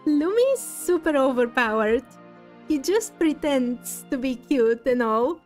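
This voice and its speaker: A woman live-streaming a game, chatting casually and gently with her viewers in a slightly halting, apologetic way. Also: high voice, lively intonation, faint music behind.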